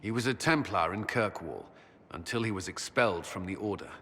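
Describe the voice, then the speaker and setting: A man's voice: low-pitched, fairly quick, fairly bright tone, long reverb tail, a little hiss or hum. An actor playing a grave, earnest male military commander in a game, speaking firmly. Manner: grave and firm.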